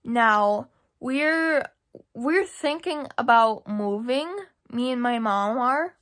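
A young woman chats casually to a camera as she moves through her home. Her voice sits a little high and she talks very slowly. She is hesitant and rambling, working out her thoughts aloud. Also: fairly bright tone. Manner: hesitant, halting, thinking aloud. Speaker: vlogger giving a house tour. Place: rooms of a home, indoors. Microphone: handheld camera or phone at arm's length.

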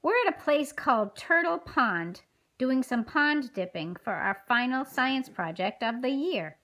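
A woman's voice: high-pitched, lively intonation, a little slow, fairly bright tone, full character voice. A woman voicing an eager, friendly fifth-grade schoolgirl in a scripted children's puppet show, explaining things enthusiastically. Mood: cheerful.

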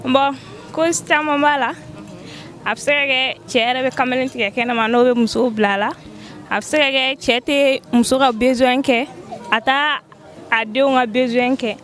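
A woman with a Malian accent, calmly listing reasons to a street interviewer. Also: sits high, moderate pace, faint music behind. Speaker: passer-by. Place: street outdoors. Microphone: handheld mic.

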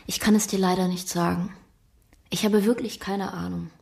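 A woman's voice: medium pitch, fairly even intonation, moderate pace, creaky, a little mellow, lightly acted. A woman playing a regretful, doubtful figure at a loss in a radio play. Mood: regretful, unsure. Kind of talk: audio drama acting.

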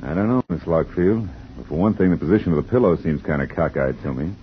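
An actor playing a wry, hard-boiled private detective who narrates, in a 1950s radio drama with a dull vintage sound. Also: male voice, very deep voice, expressive, fast, a little hiss or hum. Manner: dry, laconic, wisecracking.

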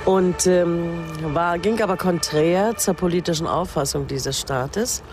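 An older woman recalling her own past in a halting, spontaneous way. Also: low-pitched. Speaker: interviewee, former journalist. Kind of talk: spoken personal recollection. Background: music behind the voice.

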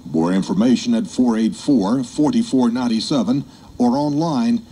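A man's voice: medium pitch, normal speed, dark tone, a little hiss or hum. A man reading scripted announcements as an oldies radio station announcer. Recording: FM radio aircheck, music underneath. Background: music.

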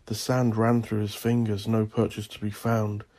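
Gravelly man narrating dark horror, widely varied pitch. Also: flat delivery, moderate pace. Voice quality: gravelly, husky. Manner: intense, theatrical. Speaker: audiobook narrator. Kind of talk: dramatic scripted horror narration.

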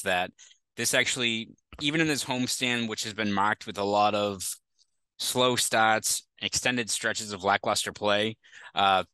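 A young sports reporter with a Boston accent talks through a postgame recap into a headset mic, thinking aloud with plenty of fillers. His voice is bright and smooth, sitting a little low. The sound is dry and close, with no room echo. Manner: conversational analysis with frequent fillers. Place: small indoor room.

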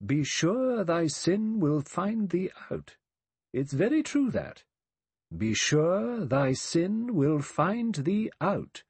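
A man's voice: sits high, very animated, takes it slowly, darkish tone, breathy edge, dry recording, voice pushed up, heavily acted. A man voicing a righteous elderly English spinster in an audiobook, precise, dry and sanctimonious in manner. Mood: pious. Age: older.

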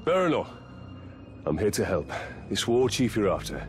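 A man's voice: on the low side, big pitch swings, normal speed. A man playing a sombre, steadfast ranger warrior in a fantasy video game, earnest and grave. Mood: resolute. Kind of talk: dramatic fantasy game acting. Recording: loud music over the voice.

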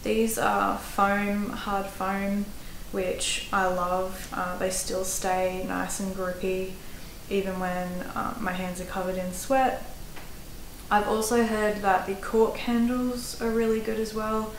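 A woman's voice: medium pitch, flat delivery, takes it slowly. Australian woman vlogger, roomy indoor sound. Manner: chatty, practical, with fillers. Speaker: hiking vlogger. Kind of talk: casual gear advice to camera. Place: small room indoors. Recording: roomy echo, faint background noise.

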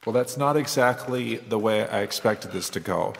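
Nervous man for improvised radio drama, lowish pitch, hesitant and complaining, long reverb with noise. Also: normal speed, gravelly. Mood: exasperated. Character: anxious, complaining young man lost in the jungle. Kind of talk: improvised radio play acting.

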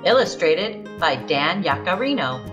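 A woman reading a picture book aloud to young children as a storyteller, with expressive, exaggerated emphasis and music behind her. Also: lowish voice, unhurried, muffled recording. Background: background music.

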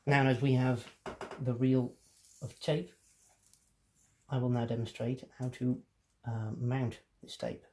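A man's voice: mid-range voice, flat delivery, slow, pauses often, a little mellow. A man presenting a casual hands-on tutorial, explaining things step by step.

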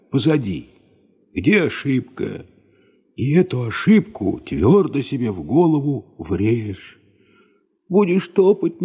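Husky, slow old tundra grandfather. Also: mid-range voice, mellow, exaggerated voice. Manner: halting, folksy, philosophical musing. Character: wise old tundra herder grandfather, kindly, unhurried. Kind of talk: Soviet radio drama acting. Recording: muffled 1970s radio play recording.

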